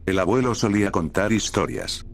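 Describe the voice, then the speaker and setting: A stony middle-aged mountain local in a horror story, voiced by a male narrator. The voice is low, and the delivery is terse, folksy and indifferent. Faint ambient noise runs underneath. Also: normal speed, lightly acted. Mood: stony warning. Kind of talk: acted dialogue in horror storytelling.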